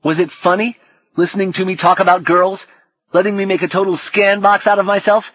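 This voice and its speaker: A man's voice: a little high, normal speed, husky, no room sound, muffled recording, voice pushed up. A man playing an earnest, insistent teenage boy in an audiobook, with an urgent, emphatic delivery. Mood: hurt, angry.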